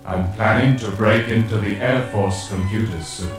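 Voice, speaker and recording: A man playing a cold, menacing master computer program in a commanding, metallic voice with an electronic echo. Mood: cold disapproval. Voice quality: booming, metallic. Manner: slow, commanding, ominous. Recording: heavily echoing, distant, music behind.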